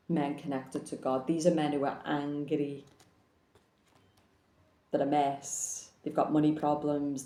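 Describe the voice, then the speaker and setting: Frank, plain-spoken female tarot reader, mellow tone, roomy recording. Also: low voice. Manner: blunt, frank, swears casually. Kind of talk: casual tarot reading to camera.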